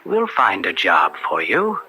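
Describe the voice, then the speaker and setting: An actor playing a middle-aged, evasive political fixer and family friend in an old-time radio drama. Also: male voice, low-pitched, wildly swooping pitch, a little slow, sharp tone, heavily acted. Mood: placating, evasive. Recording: noisy old radio broadcast.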